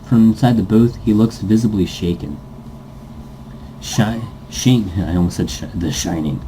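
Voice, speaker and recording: A man's voice: fairly low pitch, measured pace, dark tone, a little hiss or hum, poor audio. A man reading a story aloud as a let's player, stumbling over words now and then and breaking into goofy asides. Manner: stumbles over text and self-corrects, goofy. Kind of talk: story narration read aloud with playful asides.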